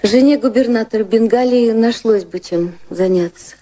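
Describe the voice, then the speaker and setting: An actress playing a candid, proud younger society woman with a romantic streak in a radio play, speaking in a formal, frank, measured way. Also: on the high side, mellow, close to an everyday voice, rough recording. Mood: frank, gentle.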